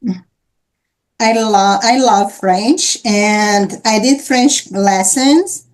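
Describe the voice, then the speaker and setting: A woman's voice: medium pitch, very slow. A woman hosting a casual interview, speaking in an encouraging way. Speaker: interview host. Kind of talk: casual conversation.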